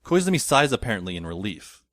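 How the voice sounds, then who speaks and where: A man's voice: on the low side, sing-song, fast talker, fairly bright tone, dry recording. A man playing a wry teenage schoolboy who narrates an audio drama in a dry, descriptive way.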